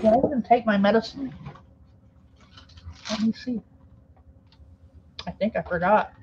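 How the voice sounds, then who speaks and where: A woman's voice: a little high, unhurried, background noise. Woman stream host, casual and laid-back, on a dull lo-fi mic. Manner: laid-back, laughs often. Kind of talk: casual chat.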